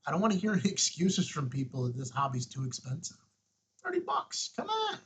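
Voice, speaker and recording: Husky-voiced man giving a casual tutorial. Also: fairly high pitch, huge pitch swings, brisk, fairly bright tone. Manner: informal, thinking aloud. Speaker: host, ham radio tutorial presenter. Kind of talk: casual step-by-step tutorial talk.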